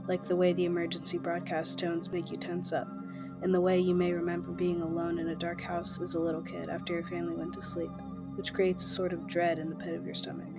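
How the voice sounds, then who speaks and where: Female explainer narrator: slightly low voice, even measured delivery, scripted, music bed. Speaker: narrator, presenter. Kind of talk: scripted video essay narration.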